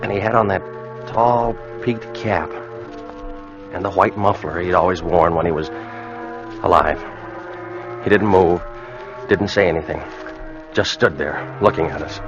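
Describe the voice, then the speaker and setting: A man's voice: deep voice, a little slow, pauses often, over music. An actor playing an earnest ship's officer who tells his tale in an old radio drama with grave, tense delivery. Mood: grave narration. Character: earnest, steady ship's officer recounting a haunted voyage. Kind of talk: dramatic first-person narration, 1940s-50s radio acting. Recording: muffled old radio recording, dramatic score.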